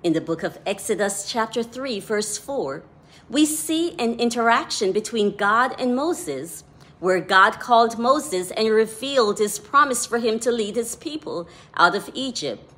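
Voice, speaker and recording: A woman with a Jamaican accent, a devotional author giving a scripted devotional talk in a measured, deliberate way. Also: on the high side, expressive, a bit bright.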